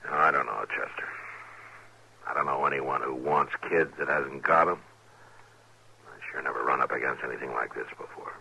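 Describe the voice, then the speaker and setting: Deep husky voice, weary frontier marshal, blunt, American, forceful edge, old radio drama. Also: male voice, steady tone, moderate pace, pauses often, full character voice, poor audio. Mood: tired, subdued. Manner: blunt, curt. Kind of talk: old-time radio Western acting. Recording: vintage radio drama recording.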